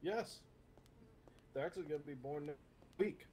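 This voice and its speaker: A man's voice: on the high side, normal speed, crowd noise. A man speaking politely and a little hesitantly as a police officer in improvised online game roleplay.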